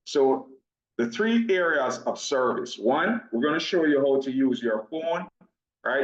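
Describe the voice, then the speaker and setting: A middle-aged man with a Jamaican accent giving a measured, emphatic sales presentation on a video call, repeating his key points. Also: medium pitch. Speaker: presenter of a business opportunity. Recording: roomy dull video-call audio.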